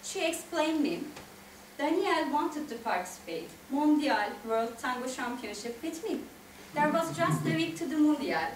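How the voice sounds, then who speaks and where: A woman in her thirties with a Turkish accent giving an expressive, prepared storytelling speech to listeners. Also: sits high, unhurried, distant mic. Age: 30s. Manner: expressive, addresses listeners directly. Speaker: club speaker, tango dancer. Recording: echoey room from afar, faint noise.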